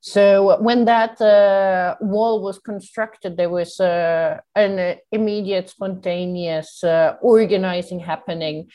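A woman from Italy with an Italian accent, giving reflective interview answers in a halting way, searching for words. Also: mid-range voice, fairly even intonation, warm tone. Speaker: guest, human rights campaigner.

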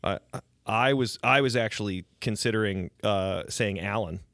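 Low-voiced, wry joking man. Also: normal speed, vocal fry, a bit bright, no room sound, clean studio sound. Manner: wry, joking, laughs often. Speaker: podcast co-host. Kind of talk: casual chat.

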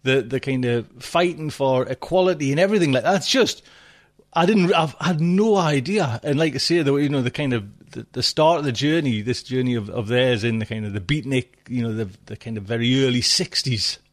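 A man's voice: mid-range voice, fairly quick. A man hosting a podcast from home, chatting casually and rambling with many asides and fillers. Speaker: podcast host.

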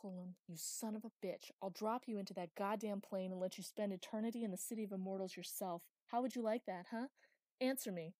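A man's voice: high-pitched, moderate pace, darkish tone, no room sound, studio quality, natural voice. Woman voicing a snarling furious mage Thunder. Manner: snarling, growling. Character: furious proud male mage, threatening.

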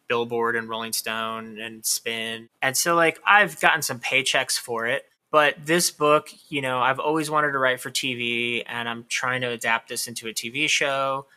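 A man's voice: medium pitch, fairly even intonation, moderate pace, few pauses, bright, forceful tone, clean tone. A man in his thirties, a writer being interviewed, talking candidly and at length in a casual way. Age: 30s. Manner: candid, talkative, lots of "like" fillers. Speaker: author guest.

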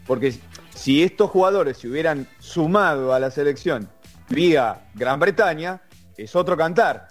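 Argentine man, co-host, slightly high voice, faint music underneath, emphatic explainer. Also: animated, measured pace. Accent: Argentine Spanish. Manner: explanatory, emphatic, restarts often. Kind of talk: casual sports talk.

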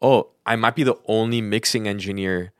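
A man's voice: fairly low pitch, steady tone, a little fast, clear, resonant tone, no room sound, clean studio sound. A man in casual podcast chat, explaining things informally with plenty of everyday examples. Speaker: podcast co-host, freelance audio engineer.

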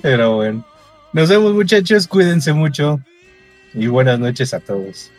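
Brief: early-twenties Mexican co-host, higher-pitched, quick excitable reactions, faint music. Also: male voice. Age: 20s. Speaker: podcast co-host. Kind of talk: casual chat among friends.

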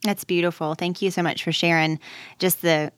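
A woman's voice: on the low side, fairly even intonation, moderate pace, smooth, dry recording, studio quality. A woman hosting an interview, affirming her guest and leading into questions with long run-ups. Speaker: host.

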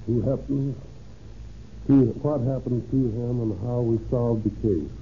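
A man's voice: mid-range voice, a little slow. A man presenting a 1930s radio program as a police official, formal and stiffly reading a script. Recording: muffled, faintly hissy old radio recording.

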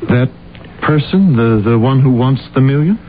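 A man's voice: medium pitch, lively intonation, moderate pace, a little hiss or hum, close to an everyday voice. Man acting a deferential secretary, tinny old radio. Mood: nervous. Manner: hesitant, uneasy, polite. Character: nervous, deferential male personal secretary.

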